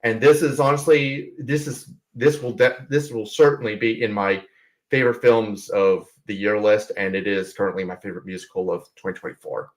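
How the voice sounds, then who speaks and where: A man's voice: on the low side, fairly even intonation, moderate pace, rough recording. A man on a video call giving a rambling, enthusiastic film review with many restarts. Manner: rambling, full of restarts and fillers. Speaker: panelist. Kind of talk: casual film review discussion.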